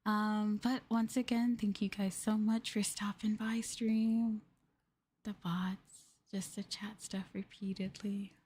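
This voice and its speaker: A woman streamer chatting softly in an ASMR livestream style, gentle and hesitant, sometimes drifting into a whisper. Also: mid-range voice, level tone, slow, husky. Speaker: streamer, VTuber host.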